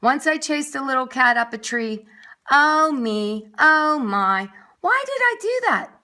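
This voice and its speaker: A woman's voice: sits high, big pitch swings, a little slow, sharp tone, pitched above the performer's own voice, full character voice. A woman voicing an earnest, self-questioning young male cat in a children's storybook. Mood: remorseful.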